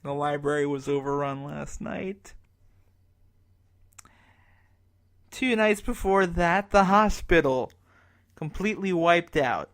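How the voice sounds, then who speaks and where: A man's voice: high-pitched, exaggerated voice. Man acting a despairing, bitter apocalypse radio broadcaster. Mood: weary, grim. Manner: halting, self-berating, sardonic, swearing. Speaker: radio announcer. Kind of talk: dramatic radio drama monologue.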